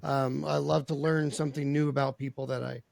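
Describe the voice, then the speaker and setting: A man's voice: medium pitch, flat delivery, fairly quick, vocal fry, darkish tone, no room sound. A male podcast host losing his voice, chatting earnestly with a guest and often repeating and restarting his words. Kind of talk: casual interview chat.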